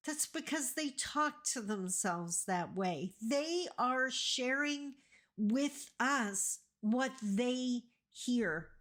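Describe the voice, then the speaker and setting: A middle-aged woman from Northern Ontario speaks to her viewers in a slightly raised voice at an unhurried pace. She is still recovering from a cold. She talks to them warmly and directly, with plenty of enthusiasm. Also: sits high. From Northern Ontario, Canada. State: getting over a cold. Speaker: vlogger, host of her channel. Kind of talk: casual direct-to-camera talk.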